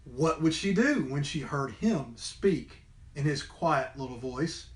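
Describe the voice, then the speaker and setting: A man with a fairly high voice reads a children's storybook aloud. His delivery is expressive, in the manner of a schoolteacher at story time. The room adds noticeable echo. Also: slow. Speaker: storybook reader, teacher. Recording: roomy indoor echo.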